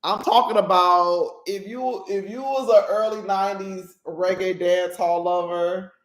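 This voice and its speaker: A woman's voice: mid-range voice, fairly even intonation, a little slow. Emphatic woman podcaster in a roomy space. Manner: emphatic, keeps checking in with listeners; playful, laughs at her own jokes. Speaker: podcast host. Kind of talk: casual solo podcast monologue.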